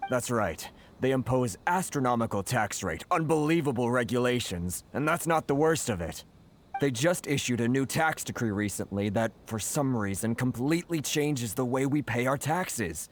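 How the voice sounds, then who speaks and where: An actor playing a weary, courteous and aggrieved merchant in a video game, articulate and full of complaint. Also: male voice, medium pitch, expressive, moderate pace, a little hiss or hum, close to an everyday voice. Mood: frustrated. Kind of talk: video game cutscene acting.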